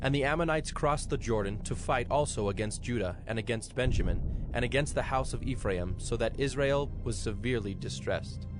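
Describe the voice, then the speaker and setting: A man narrating scripture from a script in a measured, solemn manner. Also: medium pitch, music in the background.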